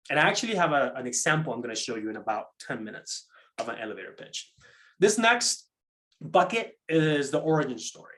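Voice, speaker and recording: Higher-voiced man presenting a conversational workshop. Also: a bit bright. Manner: halting, often repeats words and restarts; conversational, seeks agreement with tag questions. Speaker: program manager presenting a workshop. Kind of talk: interactive online workshop talk on pitching.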